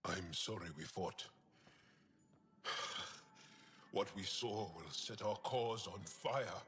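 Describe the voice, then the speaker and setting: An actor playing an earnest, passionate alien resistance fighter in a video game, speaking formally. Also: male voice, fairly low pitch, takes it slowly, noisy background. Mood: earnest, subdued. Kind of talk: video game cutscene acting.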